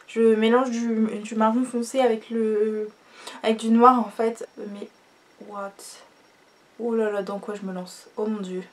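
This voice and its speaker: A young woman with a stuffy nose from a cold, chatting casually through a makeup tutorial with many fillers and trailing off quietly. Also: fairly high pitch, flat delivery, measured pace. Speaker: beauty vlogger.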